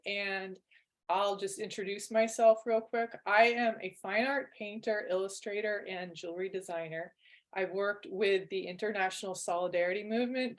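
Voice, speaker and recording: Woman, interview host, middle pitch, conversational introduction.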